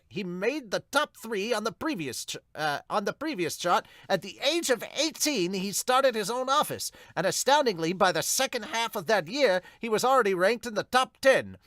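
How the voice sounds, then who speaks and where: Animated superhero-ceremony announcer, played by a man, pitched well up, presenting loudly, dry comic-dub recording. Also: dry recording. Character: high-pitched, lively announcer at a hero ceremony. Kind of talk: announcing, amateur manga dub.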